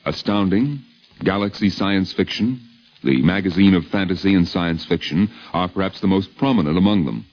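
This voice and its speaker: An American man narrating a 1950s radio documentary in a literary, measured and dramatic way, on a vintage recording. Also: low voice, highs cut off.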